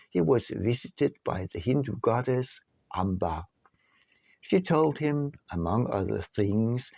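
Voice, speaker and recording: A man narrating an audiobook from a script in a measured, deliberate way with emphatic phrasing. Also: fairly low pitch, creaky, warm tone, dry recording, muffled recording. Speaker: author reading his own book.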